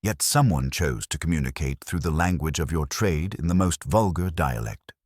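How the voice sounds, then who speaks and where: Man acting imperious older male detective, audiobook mystery, bottom-register voice, husky, formal, stern, judicial phrasing. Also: rumbling low, animated, dry recording, studio quality, exaggerated voice. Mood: coolly probing. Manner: formal, measured, pronouncing judgments.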